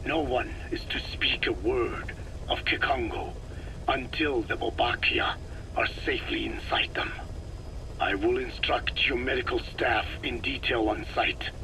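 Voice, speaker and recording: A man's voice: medium pitch, close to an everyday voice. Code Talker: a man voicing a whispering ancient sage. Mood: grave. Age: older. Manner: slow, hushed, whispery, formal. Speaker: scientist giving instructions. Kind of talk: video game cassette tape dialogue. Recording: cassette tape with audible hiss.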